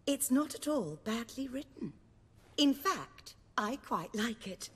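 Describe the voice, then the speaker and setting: A woman playing a refined, self-assured stage actress and director in a video game, speaking in a measured, articulate, theatrical way. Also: on the high side, sing-song, a bit bright, breathy edge, long reverb tail, full character voice. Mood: pleased, considering. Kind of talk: video game dialogue acting.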